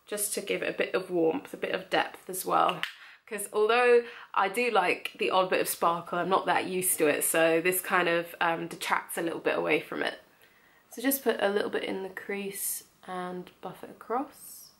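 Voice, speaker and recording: A woman's voice: lowish voice, steady tone, moderate pace, gravelly, fairly bright tone. Young woman, beauty vlogger, mild cold, nasal, casual tutorial chat. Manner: chatty, friendly, lots of ums. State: has a cold, slightly nasal.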